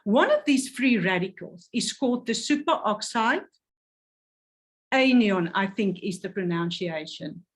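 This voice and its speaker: A woman's voice: mid-range voice, expressive, a little slow. A woman presenting a health product, reading carefully and stumbling over technical words.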